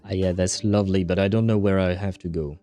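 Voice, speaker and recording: A man's voice: low voice, steady tone, quick pace, mellow, soft background music. A man giving casual livestream commentary as he plays a game, muttering to himself and swearing now and then. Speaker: livestream host.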